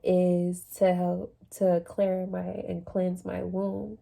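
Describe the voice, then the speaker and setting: A young adult woman talking casually about her experience in a personal vlog, relaxed and chatty with plenty of fillers. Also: lowish voice, slow pace, creaky, a little hiss or hum. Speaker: vlogger sharing a fasting journey.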